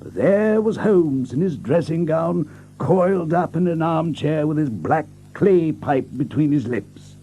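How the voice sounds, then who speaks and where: An actor playing an amiable, earnest gentleman, a detective's companion, who also narrates the audio drama. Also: fairly high pitch, lively intonation, a little slow, slight background noise.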